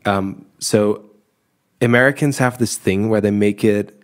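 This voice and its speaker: Low-voiced man, chatty. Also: measured pace. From Germany. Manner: relaxed, rambling with restarts and laughs. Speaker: podcast co-host. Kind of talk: casual chat.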